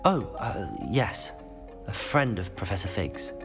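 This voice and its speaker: An actor playing a polite, curious young student wizard, the hero of a video game. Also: male voice, fairly low pitch, lively intonation, a little slow, over music. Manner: polite, earnest.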